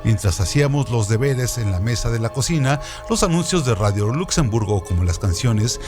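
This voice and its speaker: A man narrates scripted literary notes as a radio co-host, with lively swings in intonation. His delivery is rhetorical and expository. Faint music runs beneath the voice. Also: medium pitch, normal speed.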